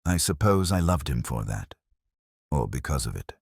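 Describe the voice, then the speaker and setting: A man voicing a wistful, guarded academic woman in a detective story, quiet and careful. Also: a bass voice, very animated, moderate pace, husky, dry recording, studio quality, exaggerated voice. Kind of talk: quoted dialogue within detective story narration.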